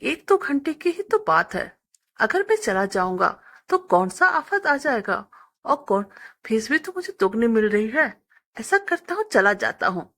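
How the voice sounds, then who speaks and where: A woman's voice: on the high side, sing-song, a little slow, fairly bright tone, full character voice. A woman voicing a smitten male beauty parlour worker in a story, courteous and eager to please. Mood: agreeable. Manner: courteous, deferential.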